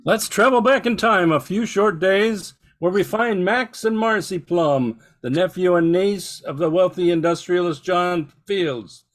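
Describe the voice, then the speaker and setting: A man's voice: sits high, measured pace, few pauses. An older man reading scripted narration for a radio drama.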